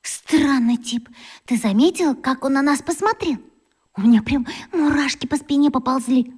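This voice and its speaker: A woman acts a naive fifth-grade girl in a radio play for children. The voice is high and girlish, with a hesitant, impressionable manner. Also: normal speed, close to an everyday voice. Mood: anxious. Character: timid, admiring schoolgirl, fifth grader.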